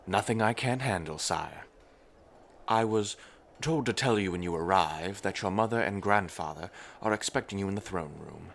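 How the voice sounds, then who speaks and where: A man's voice: low voice, moderate pace, creaky, fairly bright tone, a little hiss or hum. A man voicing a polite, formal male royal steward who is courteous and slightly dry.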